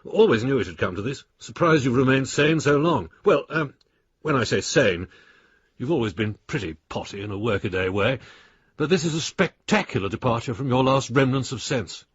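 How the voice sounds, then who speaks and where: An actor playing an exasperated older English adviser, husky, clipped and scornful, reading his letters aloud in a radio drama. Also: medium pitch, expressive, moderate pace, dry recording. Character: blunt, exasperated old friend and professional adviser, male. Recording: dry, slightly dull studio sound.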